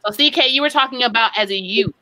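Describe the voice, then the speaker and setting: A middle-aged woman moderating a video call discussion in a reflective way, with gentle pauses. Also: a little high, low-quality recording.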